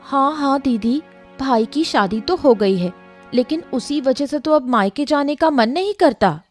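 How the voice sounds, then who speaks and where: A woman's voice: sits high, lively intonation, measured pace, faint music behind. A woman playing a disenchanted married woman in an acted story, plaintive and gossipy as she confides in a neighbour. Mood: disenchanted, complaining. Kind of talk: acted Hindi audio story dialogue.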